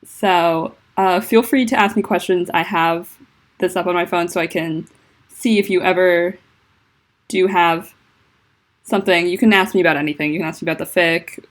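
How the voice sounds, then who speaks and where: A woman with a low, deep voice and a noticeable lisp talks to her livestream viewers from home. She rambles through her thoughts with plenty of fillers and sounds a little self-conscious. Her voice is picked up by a laptop mic. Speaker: livestreaming fanfiction writer. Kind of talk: casual livestream chat. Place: at home indoors.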